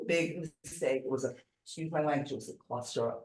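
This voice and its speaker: A woman's voice: a bass voice, fast, darkish tone. A woman in her sixties introduces herself casually to a group. She is blunt and emphatic, circling back to hammer a point. The room is echoey and the microphone sits at some distance. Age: older, 60s. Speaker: real estate agent. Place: indoor group meeting room.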